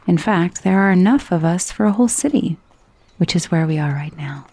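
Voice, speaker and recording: A gentle, possessive vampire noblewoman, acted by a woman in an ASMR audio roleplay. Her voice is soft and mellow with a lot of breath in it, often sinking to a whisper. She soothes in a genteel, coaxing way, very close to the mic in a dry, echoless space. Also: mid-range voice. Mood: matter-of-fact, coaxing. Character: gentle, wealthy, possessive female vampire mistress, centuries-old undead. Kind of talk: ASMR roleplay, intimate soft-spoken monologue. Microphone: close, dry microphone.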